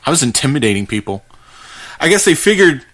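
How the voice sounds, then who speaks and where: A man narrating a first-person creepypasta in a conversational, halting way, with dramatic pauses as he goes. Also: fairly high pitch, sing-song, fairly bright tone. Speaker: podcast host reading a horror story.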